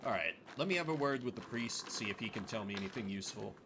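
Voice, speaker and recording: A man's voice: lowish voice, lively intonation, fast talker, noisy background. A man doing casual game commentary as a streamer, chatty and thinking aloud.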